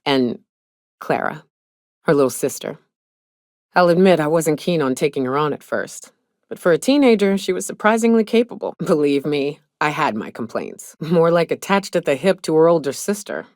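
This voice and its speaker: Game role: hardened female monster hunter, low-pitched, blunt, folksy and world-weary, close dry sound. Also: animated, normal speed, dry recording. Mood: nostalgic. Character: tough, hard-drinking, haunted female monster hunter and mercenary. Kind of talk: video game character dialogue.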